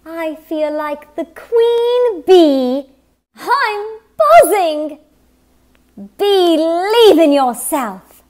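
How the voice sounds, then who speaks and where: A bubbly, bee-obsessed young woman chirps in a high, lively voice. She speaks slowly and clearly, playing up every pun. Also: heavily acted. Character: cheerful bee-loving young woman, punny. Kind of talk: game character voice lines.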